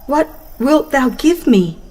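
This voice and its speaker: A woman's voice: high-pitched, animated, takes it slowly, dark tone, voice pushed up. A woman voicing a troubled, childless old patriarch who pleads and questions in a dramatized scripture audiobook. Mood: troubled pleading. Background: background music.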